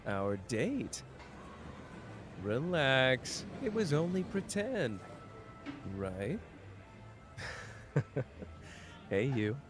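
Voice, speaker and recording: A man's voice: medium pitch, big pitch swings, extremely slow, pauses often, over music. An actor playing a smug, teasing young man who mocks his rival with a sing-song manner in an audio roleplay. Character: smug teasing young male mafia-hunter, playful and manipulative. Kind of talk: ASMR roleplay acting.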